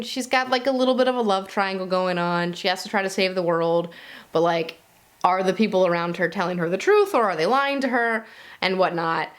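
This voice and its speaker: Female video reviewer, mid-pitched, informal and conversational, chatting straight to her audience in a casual wrap-up. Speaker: book reviewer and video host. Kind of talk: casual monthly reading wrap-up to viewers.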